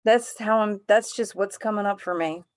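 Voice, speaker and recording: A woman's voice: mid-range voice, moderate pace. Gushing woman sharing her feelings. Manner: gushing, rambling, emotional. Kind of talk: heartfelt sharing on a group video call.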